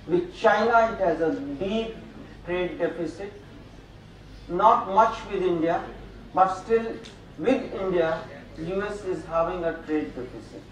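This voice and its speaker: An older man speaks slowly from a lectern in a large, very echoey auditorium, his voice picked up from a distance. He pauses often and fills gaps with hesitations as he walks through his slides. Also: sits high. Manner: slow, halting lecture with frequent fillers. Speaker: keynote speaker. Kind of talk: academic conference keynote. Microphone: podium microphone, room sound. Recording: echoey hall, voice from afar, faint noise.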